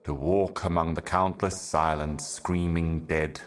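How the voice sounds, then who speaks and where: A man voicing an ancient, weary otherworldly envoy in a video game, delivering cryptic poetic monologues in a grave, brooding, measured manner. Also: rumbling low, fairly even intonation. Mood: dark, ominous. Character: ancient solemn otherworldly envoy, weary eternal servant of cosmic darkness.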